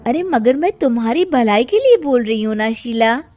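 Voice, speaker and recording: A woman's voice: on the high side, animated, normal speed, a little mellow, faint music behind, lightly acted. A woman voicing a shrewd, caring young daughter-in-law in a cartoon, earnest and worried. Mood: concerned.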